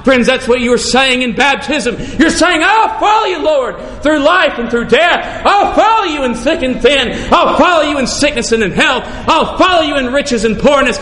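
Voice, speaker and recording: A man preaching a sermon in a fervent manner, building to shouted refrains and easing into stories. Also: animated, moderate pace, few pauses. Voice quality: says his voice is deep, sings bass. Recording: echoey room with faint ambience.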